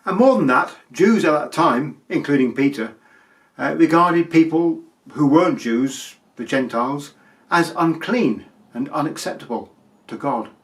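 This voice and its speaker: A man giving a sermon as a preacher, explaining things with emphatic pauses and repetition. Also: a little high.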